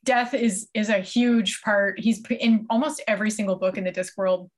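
A woman's voice: mid-range voice, steady tone, moderate pace. A woman co-hosting a casual comedy podcast, talking in a chatty, informal storytelling way. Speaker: podcast co-host.

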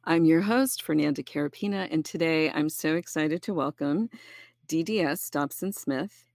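A woman hosting an interview podcast, effusive and upbeat as she welcomes her guest. Her voice has a gravelly fry. The recording is dry, with no room around it. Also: low-pitched, normal speed, darkish tone, dry recording. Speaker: podcast host. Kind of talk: scripted intro, then casual interview chat.

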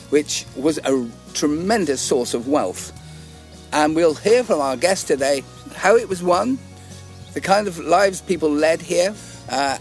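A man co-hosting a walking podcast outdoors in a valley, hoarse from a summer cold, chatty and affirming. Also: on the high side. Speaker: podcast co-host.

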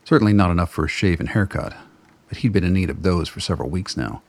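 Super deep guy narrating a radio play, raspy and gravelly, measured, wry, dry close sound. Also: expressive, darkish tone, no echo. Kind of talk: scripted radio drama narration.

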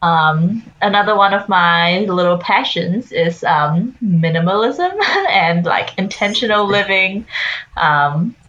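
A woman's voice: medium pitch, takes it slowly, a bit bright. Giggly young woman, dull lo-fi sound. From Singapore and Australia. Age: young adult. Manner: giggly, rambling, with many likes and ums. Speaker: podcast co-host, audiologist. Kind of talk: casual chat. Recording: dull lo-fi recording.

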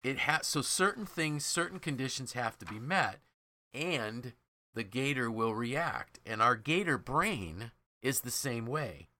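Bright-voiced man explaining in conversation. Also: medium pitch, animated, measured pace. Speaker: podcast host.

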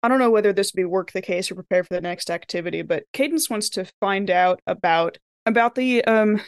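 A woman with a medium-pitched voice talks casually as a player in a tabletop game. She works out her plans aloud in loose, unscripted sentences, and the recording is dry, with little room sound. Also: fairly quick, no room sound. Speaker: player in a tabletop role-playing show.

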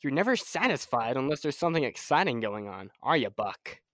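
A young man playing a proud, excitable scientist-inventor in old-time radio serial style, with a fussy, halting, stammering manner. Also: mid-range voice, dry recording, clean studio sound. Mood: pleased, proud. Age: young adult. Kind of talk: 1930s radio serial acting.

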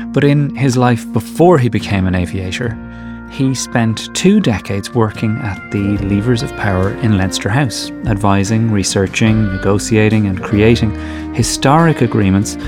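An Irish man with a lowish voice reads a scripted podcast introduction, stressing the big facts with emphasis. Plucked guitar music plays clearly underneath him. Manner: emphatic, building up the guest. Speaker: podcast host. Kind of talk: scripted intro then interview.

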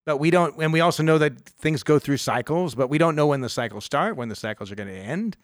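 A man's voice: fairly high pitch, expressive, fast, no room sound, studio quality. Rambling middle-aged man hosting radio. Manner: rambling, restarts often, laughs easily. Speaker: radio show host, financial advisor. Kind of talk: casual radio talk.

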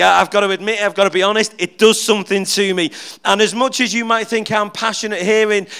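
A middle-aged man preaching an informal, humorous sermon in church, chatty and animated, restarting words and joking with the congregation. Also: high-pitched, clear, resonant tone. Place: church, speaking at the front.

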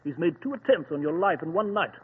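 A man's voice: fairly high pitch, lively intonation, fairly quick, lightly acted. An actor playing a bluff, loyal middle-aged male doctor in a hearty, exclaiming manner, in 1940s radio acting. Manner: hearty, exclaiming, old-fashioned English. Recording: tinny lo-fi old radio broadcast with faint hiss.

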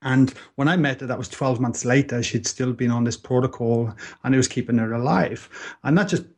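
A man's voice: medium pitch, fairly quick, darkish tone. Earnest Welsh man telling his story. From Wales. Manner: earnest, reflective, frequent restarts. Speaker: podcast guest. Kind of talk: casual interview storytelling.

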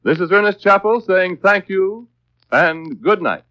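A man's voice: high-pitched, animated, unhurried, bright, forceful tone, no echo, dull audio. An American man working as a radio announcer, reading scripted copy in a formal, carefully enunciated 1940s style. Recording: dry, band-limited vintage radio broadcast.

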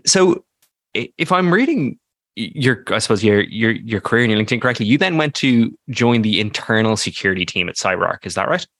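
A man hosting a podcast interview, chatting quickly and often saying "like" as he restarts his sentences. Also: fairly low pitch, lively intonation, fairly bright tone, dry recording, studio quality. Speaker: podcast host. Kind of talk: casual interview talk.

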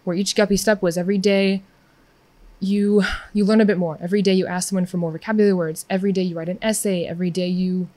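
A woman's voice: on the low side, steady tone, fast, darkish tone, no room sound. A young adult woman in casual conversation, chatty and upbeat, peppering her talk with "like" and "yeah".

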